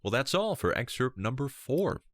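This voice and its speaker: A man reading a first-person fantasy story as an audiobook narrator, in a measured, unhurried way. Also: mid-range voice, big pitch swings, dry recording.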